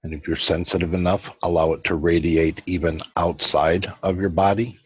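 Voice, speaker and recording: Deep, husky man leading guided meditation. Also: level tone. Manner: slow, soothing instruction with long pauses. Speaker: host and guided meditation leader. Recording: dull, lo-fi, roomy sound.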